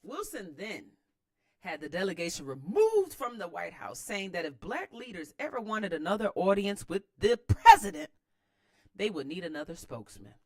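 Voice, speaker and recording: An American woman hosts a read-aloud with casual running commentary. Her delivery is expressive and lively, with wide swings in pitch around a mid-range voice. The slang comes easily, and the sound is dry, with almost no room echo. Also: normal speed. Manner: expressive, slangy, informal asides. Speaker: host of a read-aloud series.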